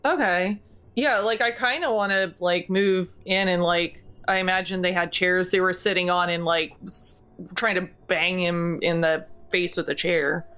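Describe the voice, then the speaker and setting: A woman chatting casually as a tabletop game player, tentatively thinking her moves out loud. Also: fairly low pitch, steady tone, unhurried, clear, resonant tone.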